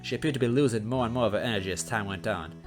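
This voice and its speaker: Casting: male amateur reader and channel host, lowish voice, casual delivery over faint guitar music. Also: very fast. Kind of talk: story narration with casual asides.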